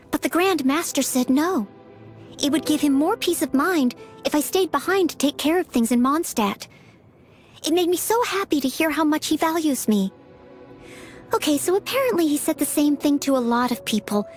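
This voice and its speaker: A woman voicing an earnest, polite teenage maid and aspiring knight in a video game, eager to please. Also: sits high. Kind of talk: video game character voice lines. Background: background music.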